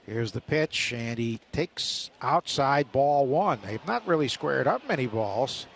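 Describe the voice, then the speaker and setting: A man's voice: medium pitch, lively intonation, slow, creaky. A man working as a radio announcer, calling live baseball play-by-play.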